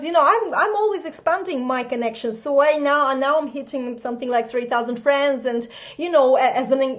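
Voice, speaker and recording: A woman with a Russian accent, a native Russian speaker, hosting a casual interview chat with quick, lively talk. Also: sits high, muffled recording. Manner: fast, lively, with restarts and laughs. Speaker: host.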